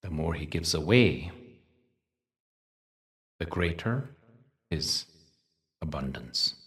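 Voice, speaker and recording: A man leading a guided meditation in a soothing, measured way, leaving long pauses between short phrases. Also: very deep voice, animated, fairly bright tone, recorded from a distance. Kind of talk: guided meditation with spoken teaching.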